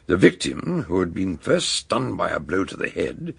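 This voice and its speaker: An actor playing an eccentric, wordy older British detective doctor in a radio drama, genial and courteous, with a gravelly, husky voice. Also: male voice, low voice, big pitch swings, no echo, heavily acted. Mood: grave, deliberate. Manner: wordy, deliberate, old-fashioned courtesy.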